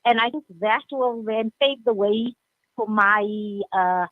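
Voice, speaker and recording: A woman's voice: a little high, a little slow, dry recording. A woman radio presenter telling a story in live discussion, speaking emphatically and repeating key phrases. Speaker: senior radio presenter, studio guest. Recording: narrow phone-like sound.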